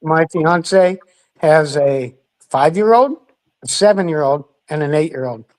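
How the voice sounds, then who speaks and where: A man's voice: on the high side, lively intonation, a little slow, a little mellow. A man chatting with his family on a live stream, speaking hesitantly with restarts. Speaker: guest, brother. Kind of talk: casual family chat.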